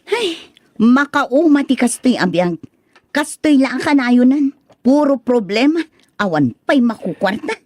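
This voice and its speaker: A woman's voice: high-pitched, sing-song, unhurried, soft background music. A woman playing an exasperated young wife and mother in a radio drama, whining and scolding sharply. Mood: fed up, scolding. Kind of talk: Filipino radio drama acting.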